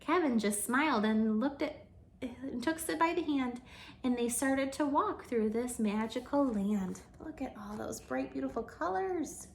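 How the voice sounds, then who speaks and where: A woman with a high voice narrates a children's story in rhyme. She reads expressively, like a teacher addressing young listeners. The recording has a clear room echo. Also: expressive, measured pace, a little hiss or hum. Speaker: teacher reading aloud to children. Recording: echoey, roomy sound.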